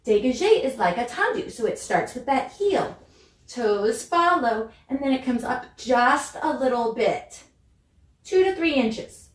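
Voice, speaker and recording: A woman's voice: fairly high pitch, lively intonation, a little slow. A woman giving ballet class instruction as a dance teacher, demonstrating and counting in an encouraging, instructive way. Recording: roomy echo, distant mic, music behind.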